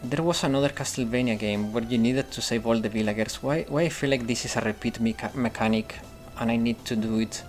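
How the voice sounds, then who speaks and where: Man, casual streamer talk, thinks aloud, middle pitch. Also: steady tone, brisk, few pauses, faint music behind. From Italy. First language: Italian. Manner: rambling, thinks aloud with restarts and fillers. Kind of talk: casual gameplay commentary and chat replies.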